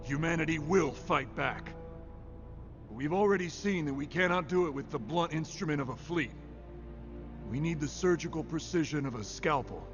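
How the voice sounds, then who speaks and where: A man playing a grave, resolute senior naval officer, formal and measured, in an animated military sci-fi drama. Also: on the high side, natural voice. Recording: slightly dull, music behind.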